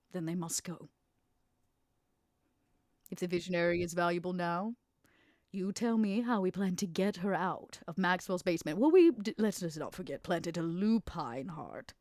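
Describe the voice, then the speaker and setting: A woman plays a female vampire smuggler in a tabletop roleplay game. Her voice sits low and is recorded dry and close. She bargains coolly, with deliberate, persuasive phrasing. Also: a little mellow, dry recording, lightly acted.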